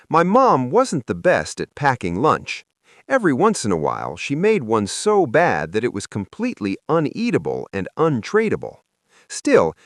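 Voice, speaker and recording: A man narrates a children's story as if it happened to him. His delivery is expressive and animated, with pitch that swings widely around an average male register. The sound is dry, with little room in it. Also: dry recording. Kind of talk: scripted first-person children's audiobook narration.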